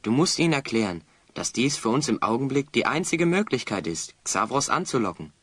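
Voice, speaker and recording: A man's voice: mid-range voice, sing-song, fairly quick, a bit bright, husky, no echo. A man playing a keen, encouraging hero who leads his friends in a German children's audio play, reasoning and urging.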